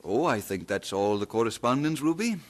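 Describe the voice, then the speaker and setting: An actor playing an earnest young Scottish preacher who is shy about love, in a radio drama. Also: male voice, mid-range voice, lively intonation, brisk, lightly acted. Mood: amused. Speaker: pastor.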